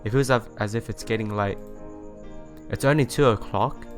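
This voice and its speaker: A man's voice: fairly low pitch, moderate pace. A man reading visual novel narration and dialogue aloud as a let's play host, stumbling over and rereading the odd phrase, with game music behind him.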